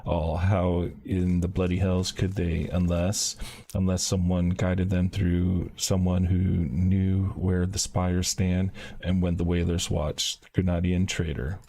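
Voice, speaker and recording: A man's voice: low voice, completely flat delivery, takes it slowly, dark tone, full character voice. A man reading aloud the lines of a wary forest scout in a game, in his own everyday voice. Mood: musing. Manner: reads game dialogue aloud in his plain voice.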